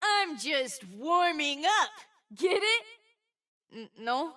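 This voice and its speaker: A woman plays an excitable boy of twelve, a little fire mage from a video game. The voice is high and bright, bouncing widely in pitch. He sounds sweet, earnest and proud of himself. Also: slow, recorded from a distance, heavily acted. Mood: playful, proud. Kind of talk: video game character voice lines.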